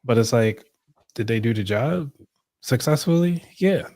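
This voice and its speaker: A man's voice: mid-range voice, animated, a little slow, dark tone. A man co-hosting a casual podcast discussion, talking in a loose, rambling way with many fillers. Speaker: podcast co-host.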